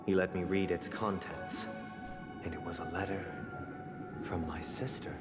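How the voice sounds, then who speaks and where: An actor playing a solemn noble man who heads a family, telling stories in a measured, formal way with soft, hushed phrases. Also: low-pitched, fairly even intonation. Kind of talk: game dialogue voice acting. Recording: reverberant with game music.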